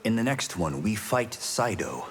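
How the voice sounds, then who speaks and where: An actor playing an earnest young Shaolin monk fighter in a video game, speaking sincerely and politely. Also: male voice, fairly low pitch, sing-song, a little slow. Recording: long hall reverb, faint noise.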